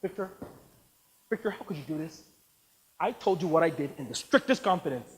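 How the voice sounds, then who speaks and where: A man voicing a nervous, pleading male agent who rushes out his accusations. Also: on the high side, animated, in a large hall, slight background noise, close to an everyday voice, poor audio.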